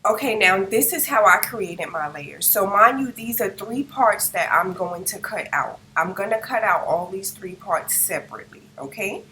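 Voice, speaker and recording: A hoarse woman narrating a step-by-step design tutorial, describing each click as she works and checking in with the viewer. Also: mid-range voice, animated, normal speed, few pauses, bright, forceful tone, slight background noise, rough recording. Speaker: crafting tutorial host. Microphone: no microphone, device's built-in mic.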